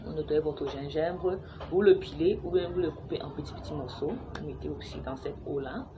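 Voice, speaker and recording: A young woman from Cameroon talks to her viewers in a chatty, halting way, full of fillers and restarts. Her voice sits quite low. The home recording carries clear background noise, with children heard playing nearby. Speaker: vlogger sharing a home remedy. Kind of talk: casual how-to talk to camera. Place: home, with noisy neighbours and children playing outside.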